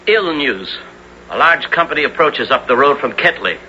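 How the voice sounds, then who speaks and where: Alert scout, lively pitch. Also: male voice, moderate pace. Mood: grave. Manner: terse, archaic formal diction. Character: alert, urgent outlaw scout bringing bad news. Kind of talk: 1970s radio drama acting. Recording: noisy, dull old radio recording.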